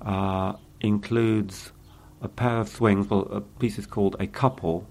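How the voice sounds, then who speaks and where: Low, gravelly male interviewer. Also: level tone, darkish tone. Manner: measured, hesitant questioning. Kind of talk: interview questions.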